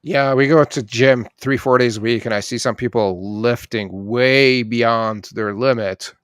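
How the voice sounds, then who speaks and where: A man hosting a casual interview, mostly chiming in with quick agreement. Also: mid-range voice, fairly even intonation, a bit bright, smooth, no room sound, studio quality. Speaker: podcast host.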